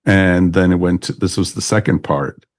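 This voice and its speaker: A man with a low voice hosts a casual podcast. He rambles enthusiastically like a devoted fan, and the sound is dry and close. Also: normal speed, dry recording. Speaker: podcast host.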